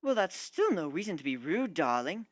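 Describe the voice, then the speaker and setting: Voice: medium pitch, sing-song, moderate pace, dry recording, pitched above the performer's own voice, lightly acted. A narrator voicing a refined, theatrical female unicorn shopkeeper with a genteel, singsong manner for a storybook reading. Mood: mild reproach.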